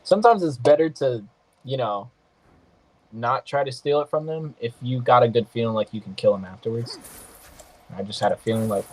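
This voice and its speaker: A man's voice: mid-range voice, normal speed, a little mellow, no echo, low-quality recording. A man hosting a gaming podcast, a bit nasal, joking loosely and trash-talking while he comments on his own play. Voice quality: sometimes nasal. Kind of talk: casual gameplay commentary.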